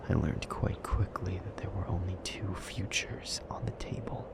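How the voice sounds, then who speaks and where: A man playing a weary, brooding but protective demon in an intimate audio roleplay, speaking in a husky half-whisper with halting, formal phrasing. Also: low-pitched, fairly even intonation. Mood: grim, haunted. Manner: slow, halting, formal phrasing with heavy pauses. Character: weary, brooding male demon, gravely guarded yet protective.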